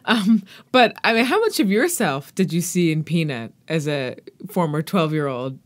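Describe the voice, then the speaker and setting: Female interviewer for a books podcast, slightly low voice, conversational delivery. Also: lively intonation, moderate pace.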